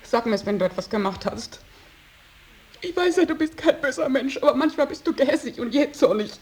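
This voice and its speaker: A woman's voice: high voice, lively intonation, fairly quick, darkish tone, breathy edge. An actress playing an anxious, reproachful middle-aged mother in a German radio drama, pleading and scolding. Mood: frightened, urgent. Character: anxious, reproachful mother of a wayward adult son.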